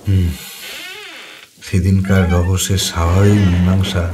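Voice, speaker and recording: A man's voice: sits low, flat delivery, measured pace, heavy background noise. A man playing a troubled doctor who narrates a horror cartoon in a suspenseful, theatrical way. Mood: pondering. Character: troubled male doctor recounting a ghostly night. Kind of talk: dramatic scripted horror narration. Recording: music behind the voice.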